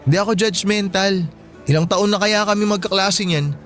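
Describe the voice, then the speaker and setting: A high-pitched young Filipino man acting as a schoolboy boyfriend in a radio drama. He is blunt and insistent, yet playful and sweet, with faint music behind him. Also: normal speed, a bit bright. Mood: frank, disapproving.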